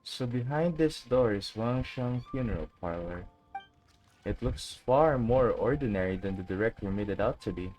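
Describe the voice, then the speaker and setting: Female game streamer, very low voice, casual reactions and read-alouds, light music underneath. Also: animated, moderate pace, warm tone, poor audio. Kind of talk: casual reactions and reading aloud during gameplay. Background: faint game music.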